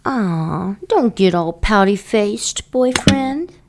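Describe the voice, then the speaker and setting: A teasing, scheming seductress. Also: female voice, mid-range voice, lively intonation, takes it slowly. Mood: flirtatious. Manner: teasing, flirtatious. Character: seductive scheming woman, secretive. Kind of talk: audio drama acting.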